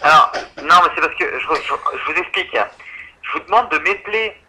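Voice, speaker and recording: A male customer service agent speaks in a very bright, forceful, slightly high voice. He is polite and patient as he explains, heard over a tinny phone line with faint noise. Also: fairly quick. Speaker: insurance call-centre agent. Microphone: telephone.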